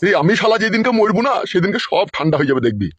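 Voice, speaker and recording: A man's voice: sits high, big pitch swings, racing, slightly rough, no room sound, full character voice. A man playing a foul-mouthed, quarrelsome older village father-in-law in a comic cartoon, scolding and cursing. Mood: exasperated. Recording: dull lo-fi dubbed audio.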